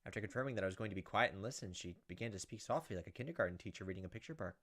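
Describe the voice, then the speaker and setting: A man's voice: on the low side, very fast, fairly bright tone, slight background noise. A man hosting a gaming video, reading a visual novel aloud as dramatic storytelling and breaking off for casual, off-the-cuff asides.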